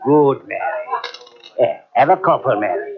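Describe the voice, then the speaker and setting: An actor playing a leering, giggling accomplice in an old radio drama, drawling and sly. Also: male voice, mid-range voice, very animated, moderate pace, creaky. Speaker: drama character. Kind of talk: 1940s radio acting. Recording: muffled old radio, tavern crowd.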